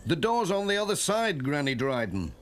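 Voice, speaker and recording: A man's voice: a little high, sing-song, normal speed, pitched above the performer's own voice. A man voicing a cheerful, obliging village postman for a children's cartoon, polite and folksy, with a British accent.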